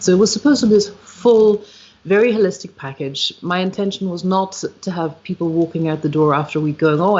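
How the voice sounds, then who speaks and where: A woman's voice: low-pitched, darkish tone. Reflective Irish woman, lo-fi stream. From Ireland. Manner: reflective, searching for words, frequent ums. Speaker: guest, musician and retreat founder. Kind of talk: podcast interview, thoughtful conversation. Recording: slightly dull, lo-fi livestream audio.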